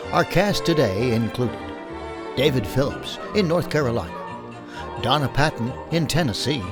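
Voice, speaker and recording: Animated male audio drama host. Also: medium pitch. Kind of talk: scripted sign-off and credits.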